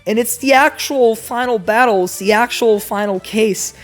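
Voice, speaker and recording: A woman giving casual, informal video essay commentary on games, speaking in an animated, emphatic way. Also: a little high, moderate pace, faint music behind.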